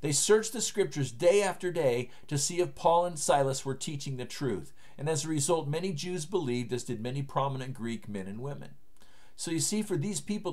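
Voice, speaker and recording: Earnest middle-aged man preaching from his study. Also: fairly high pitch. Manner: earnest, emphatic preaching to camera. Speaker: pastor. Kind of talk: recorded online sermon. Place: home study lined with bookshelves, indoors. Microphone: webcam framing, seated at desk.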